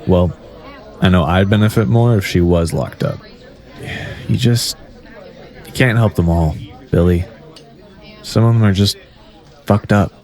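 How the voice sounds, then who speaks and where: A man's voice: low-pitched, unhurried, pauses often, a little mellow, some chatter behind. A man playing a hard, jaded cynic in an audio drama, blunt and scornful. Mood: cynical.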